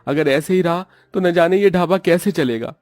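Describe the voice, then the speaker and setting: A man's voice: fairly high pitch, normal speed, darkish tone, dry recording, clean studio sound, voice pushed up, natural voice. A man voicing a worried, downhearted roadside eatery owner in a cartoon, with a plaintive, exclamatory delivery. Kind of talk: Hindi moral-story cartoon dialogue.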